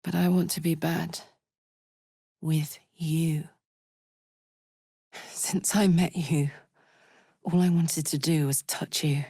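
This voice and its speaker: Up close and very dry, a battle-hardened woman warrior from a fantasy video game. She is unhurried and earthy, speaking bluntly and informally, with an impulsive streak and a big heart. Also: deep voice, level tone, dark tone, husky, dry recording, exaggerated voice. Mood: tender, earnest. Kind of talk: video game character voice acting. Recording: dry close studio recording.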